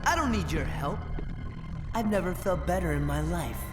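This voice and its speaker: Cartoon game antihero: cocky, sarcastic teenage guy, fairly high voice, loud music behind. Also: animated, normal speed. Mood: sneering, cocky. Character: cocky, sardonic teenage bad boy. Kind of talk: animated video game cutscene acting.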